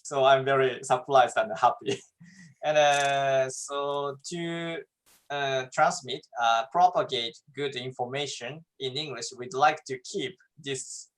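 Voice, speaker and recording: A man with a Japanese accent, co-hosting a casual chat over drinks in halting English, laughing often. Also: mid-range voice, fairly even intonation, fairly bright tone, poor audio. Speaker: co-host.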